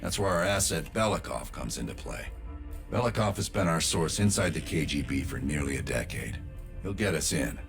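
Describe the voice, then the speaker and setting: A man's voice: a bass voice, animated, moderate pace, gravelly, faint music behind, heavily acted. A man acting a cool, commanding covert operations leader in a cinematic spy game, controlled and authoritative. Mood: businesslike.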